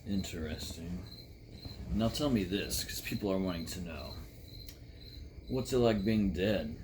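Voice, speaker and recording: Man playing a low-voiced shock-rock talk show host. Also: a little slow, noisy background. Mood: measured, curious. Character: impression of a dark male shock-rock star hosting a show.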